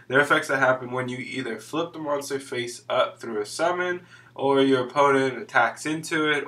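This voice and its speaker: A young man, hoarse from being sick, casually explaining game rules in a loose, rambling way. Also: medium pitch, sharp tone, distant mic. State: hoarse from illness. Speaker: channel host explaining card game rules.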